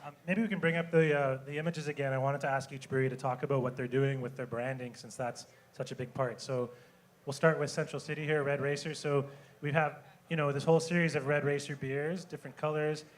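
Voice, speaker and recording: A man's voice: mid-range voice, steady tone, brisk. Hesitant man moderating a panel. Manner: hesitant, many fillers, casual questions. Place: event room with an audience.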